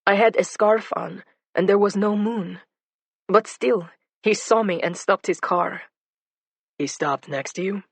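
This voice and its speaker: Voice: medium pitch, a little slow, gravelly, long pauses, husky, no echo, voice pushed up. A narrator voicing a fearful but determined Bosnian woman witness with a heavy Bosnian accent. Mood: earnest, anxious.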